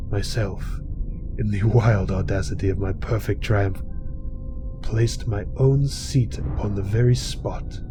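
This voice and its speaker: A man giving a deliberate, theatrical dramatic reading of a gothic horror story. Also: on the low side. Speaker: reader. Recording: loud music under the voice. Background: music.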